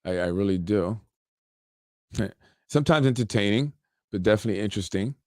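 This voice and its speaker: A man's voice: fairly low pitch, animated, brisk, darkish tone, no room sound, studio quality. Rambling, chuckling New man. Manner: rambling, chuckling asides, many restarts. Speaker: podcast host. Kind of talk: casual political commentary reading an article aloud.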